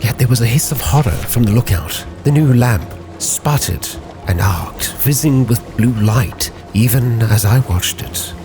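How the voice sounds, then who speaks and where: A man narrating a dramatic story as a sea captain reading his log, solemn and measured, with phrases that sink into a hush. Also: mid-range voice, animated. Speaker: narrator and roleplayer. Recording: music under the voice.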